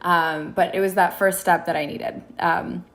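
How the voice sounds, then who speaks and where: A woman's voice: fairly low pitch, steady tone, measured pace, a bit bright. A woman in her twenties from Minneapolis, a content creator, chatting casually as a podcast guest with lots of restarts. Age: 20s. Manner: chatty, many restarts and fillers. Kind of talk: casual interview chat.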